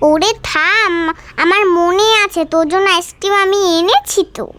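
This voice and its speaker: A woman voicing a kind, sensible older girl in a doll story, patient and gently bossy. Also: very high-pitched, normal speed, no echo, voice pushed up. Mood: reassuring.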